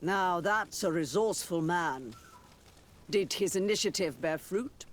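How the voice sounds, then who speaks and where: High-voiced, lilting courtly dignitary. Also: male voice, expressive, measured pace. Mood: gracious, inquiring. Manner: formal, ornate courtesy. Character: courtly male dignitary, gracious and grateful ruler. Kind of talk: video game cutscene acting.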